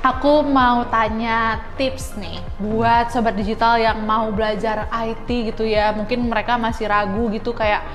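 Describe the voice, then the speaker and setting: A woman's voice: fairly high pitch, flat delivery, measured pace, hardly any pauses. A young woman hosting a casual podcast interview, chatty and informal, often checking for agreement. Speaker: interview host. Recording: reverberant hall sound with music underneath.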